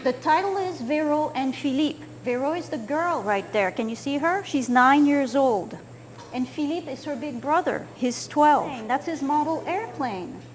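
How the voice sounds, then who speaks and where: High-voiced woman in her sixties, from France. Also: animated, a little slow, poor audio. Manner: gentle, explaining and pointing at pictures. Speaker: children's author. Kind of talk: slideshow talk for children.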